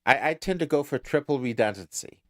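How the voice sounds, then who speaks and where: A man's voice: mid-range voice, expressive, brisk, fairly bright tone, dry recording, studio quality. A man co-hosting a podcast, chatting casually through an episode recap, often repeating words as he starts a thought. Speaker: podcast co-host.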